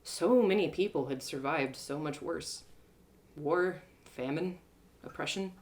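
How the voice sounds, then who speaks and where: A woman storyteller giving an expressive first-person narration of a fantasy adventure in a live reading. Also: low voice, a little slow. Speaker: storyteller, one-woman audio drama performer.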